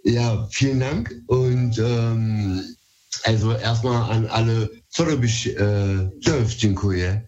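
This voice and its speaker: A man's voice: lowish voice, takes it slowly, darkish tone, lo-fi sound. A man from Berlin whose first language is Turkish, calling in to a radio show and talking hesitantly with many fillers. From Berlin, Germany. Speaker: radio caller, DJ. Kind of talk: phone-in chat.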